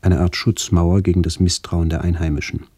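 A man reading a scripted literary radio narration in a measured, solemn manner with incantatory phrasing. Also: low-pitched, little pitch movement, dark tone, dry recording.

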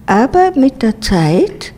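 A woman's voice: on the high side, very animated, slow, dark tone, slight background noise. A woman in her late eighties, from Turkey, interviewed as a resident of a retirement home, searching for words now and then. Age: 80s.

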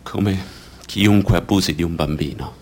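An actor playing a bitter, disillusioned man giving deliberate testimony as an abuse survivor in radio theatre. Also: sits low, slight background noise, natural voice.

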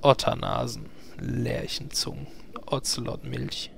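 Man as a gravelly, flat fantasy adventurer. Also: medium pitch, slow pace, slight background noise. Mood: deadpan. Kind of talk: German audio drama parody.

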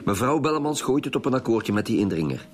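An actor playing a suspicious, stubborn police detective in a radio drama, with a Flemish accent and a blunt, argumentative manner. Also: male voice, mid-range voice, big pitch swings, fast talker, close to an everyday voice. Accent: Flemish Belgian. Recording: music behind the voice.

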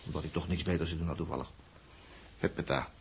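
A self-mocking male mechanic aboard a spaceship, with a low voice. He muses aloud in loose, everyday phrases and little interjections, in a 1960s radio play with slight background noise. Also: steady tone. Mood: wry, musing. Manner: colloquial, halting, musing aloud with repeated little interjections. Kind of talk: 1960s radio drama acting. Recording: noisy old 1960s radio drama recording.

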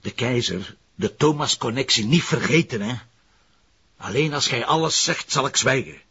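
A dogged Flemish police detective. His voice is very hoarse-edged and husky, medium in pitch. He questions wryly and insistently, in a slightly dull radio drama recording. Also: lively intonation, normal speed, bright, forceful tone, exaggerated voice.

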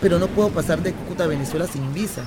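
A woman's voice: lowish voice, very fast, crowd noise, natural voice. An actress playing a romantic, adventurous woman in love, with a Colombian accent, in a radio drama. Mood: tender, yearning. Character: romantic, adventurous woman devoted to distant lover.

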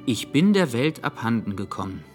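An actor playing an earnest, phlegmatic young gentleman in love, speaking in a musing, wordy, pleading way. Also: mid-range voice, big pitch swings, normal speed, soft background music, close to an everyday voice. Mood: musing, plaintive.

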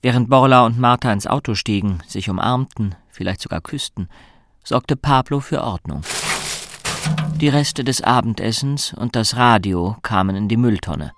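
A man's voice: medium pitch, moderate pace, fairly bright tone, natural voice. A man reading scripted literary narration as the sober storyteller of a radio drama. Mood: sober, reflective. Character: sober male third-person storyteller.

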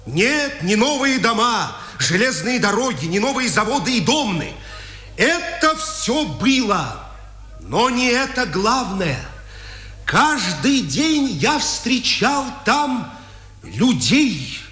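Fiery earnest speechmaker, 50s radio play, high slow declaiming, echoey. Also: male voice, big pitch swings, bright, forceful tone, heavily acted. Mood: earnest, stirring. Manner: declamatory, deliberate pauses. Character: impassioned, earnest male journalist giving public speeches. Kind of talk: 1950s radio drama oratory. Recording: echoey old radio hall recording.

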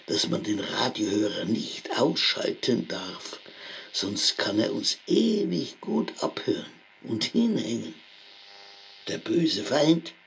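A man's voice: mid-range voice, vocal fry, a little hiss or hum, low-quality recording. An actor playing a paranoid, rambling rustic man with a Bavarian accent, muttering a dialect monologue in a radio play.

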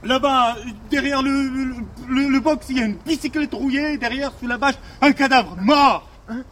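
An actor playing a playful, jittery police sidekick in a French audio drama, chatty and mock-theatrical, with a French accent. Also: male voice, very high-pitched, moderate pace, a bit bright, a little hiss or hum. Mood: panicked. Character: jokey, excitable, jittery male police sidekick. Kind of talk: naturalistic audio drama acting.

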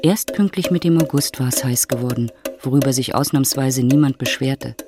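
A man's voice: mid-range voice, moderate pace, music in the background. A man narrating a literary radio drama in a scripted storytelling style.

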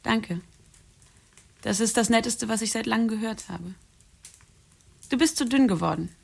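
An actress playing a blunt, teasing, world-weary young woman in a radio drama, with a husky, creaky voice and a frank way of talking. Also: fairly high pitch, moderate pace, full character voice.

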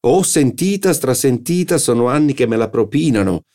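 A man's voice: low-pitched, expressive, a little fast, background noise. A man voicing a sardonic middle-aged host who makes dry, sarcastic remarks.

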